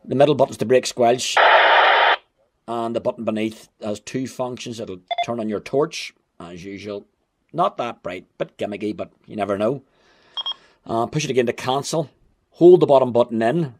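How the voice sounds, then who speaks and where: Guy doing a casual gadget review, plain talking and a bit wry, normal mid pitch. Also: normal speed, darkish tone. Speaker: amateur radio gadget reviewer. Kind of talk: casual hands-on product review. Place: indoors at home.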